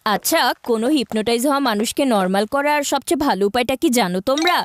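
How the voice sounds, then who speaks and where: A woman voicing an excitable, scheming young boy, a bossy older brother, in a cartoon comedy. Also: a little high, fast, slight background noise, natural voice. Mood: smug, scheming. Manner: animated, stammers when flustered. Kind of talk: cartoon dubbing in Bengali comedy.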